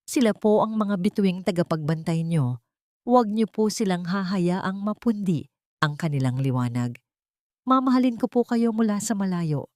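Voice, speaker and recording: A devoted young Filipino housemaid, voiced by a woman narrator speaks in a gentle, respectful plea. It is audio drama letter reading, recorded dry and close. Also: medium pitch, lively intonation, moderate pace, no room sound, lightly acted. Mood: tender pleading. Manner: respectful, pleading.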